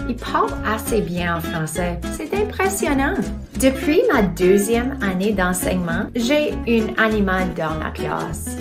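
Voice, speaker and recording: A playful, self-mocking woman narrates her day as a teacher, her French carrying an English-speaking accent. Her pitch swoops widely while she speaks slowly. Music plays clearly behind her. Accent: English-speaking learner of French. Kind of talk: scripted video narration.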